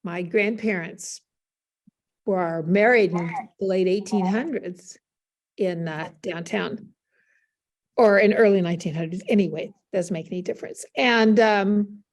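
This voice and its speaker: A woman's voice: mid-range voice, unhurried, a little mellow, a little hiss or hum. Older Californian woman reminiscing. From Southern California. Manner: candid, anecdotal. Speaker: interview guest, former performer. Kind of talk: conversational reminiscing. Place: online video call.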